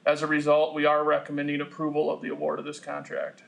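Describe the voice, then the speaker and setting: A man presents a formal meeting report in a slightly high, gravelly voice. His intonation is extremely flat, and his delivery is measured, halting and businesslike. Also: lo-fi sound. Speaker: project engineer presenting to a commission.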